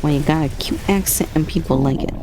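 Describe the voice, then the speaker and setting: Chatty host streamer, rambling, mid pitch, faint background noise. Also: quick pace. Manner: rambling, chatty, trailing restarts. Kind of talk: casual stream chat.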